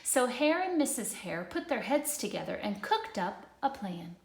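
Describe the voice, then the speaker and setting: A woman narrating a children's storybook in an expressive read-aloud style. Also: mid-range voice, normal speed, a little hiss or hum. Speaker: storybook reader. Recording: echoey room.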